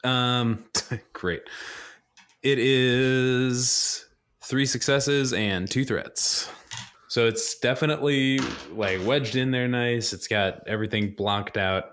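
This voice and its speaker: A man's voice: medium pitch, fairly even intonation, takes it slowly, a bit bright, slight background noise. A man chatting casually as a player in a tabletop role-playing game, improvising what his character does.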